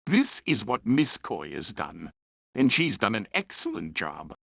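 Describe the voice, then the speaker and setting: A man's voice: on the low side, wildly swooping pitch, unhurried, gravelly, heavy background noise. A man hosting a scripted review in a chatty, opinionated way, with playful, self-aware asides. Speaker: host and reviewer of fan fiction and audio dramas.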